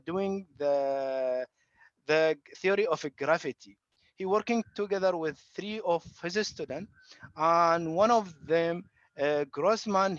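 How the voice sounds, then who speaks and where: A high-voiced man from Iraq gives an academic talk over a video call, stopping often to search for words. The sound is dry and close, with a dull, lo-fi quality from the online connection. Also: dry recording. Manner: halting, many fillers and restarts. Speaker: guest lecturer. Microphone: computer mic on video call.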